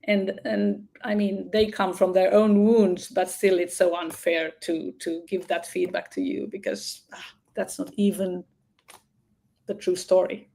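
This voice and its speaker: Woman guest, some fry, encouraging and affirming on a call. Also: on the low side, little pitch movement, unhurried. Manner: warm supportive affirmations. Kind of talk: casual interview conversation. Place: remote video call. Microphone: video call mic.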